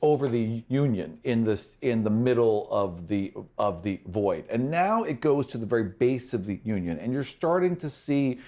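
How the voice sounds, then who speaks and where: A man's voice: medium pitch, animated, normal speed, hardly any pauses, dark tone. A man working as game master, narrating a tabletop game in a descriptive, conversational way and thinking aloud as he goes.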